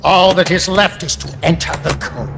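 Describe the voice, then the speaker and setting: An actor playing a zealous, calculating middle-aged American intelligence chief, delivering grandiose, emphatic lines in a dramatic video game. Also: male voice, high-pitched, steady tone, moderate pace, creaky, noisy background.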